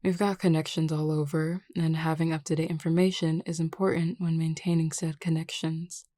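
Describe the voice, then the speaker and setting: Woman playing a mellow, teasing, flirty werewolf woman. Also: low voice, flat delivery, no room sound, studio quality, lightly acted. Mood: relaxed, assured. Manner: teasing, unhurried, casually confident. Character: flirty, teasing, self-assured werewolf pack beta woman. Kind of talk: scripted audio roleplay acting.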